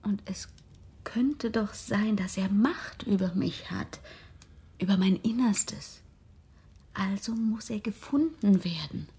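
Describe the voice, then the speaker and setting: Slow, breathy haunted young woman. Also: medium pitch, expressive, warm tone, full character voice. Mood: troubled, resolute. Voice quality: breathy, half-whispered. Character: troubled, brave young married woman haunted by a vampire. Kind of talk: German radio drama acting.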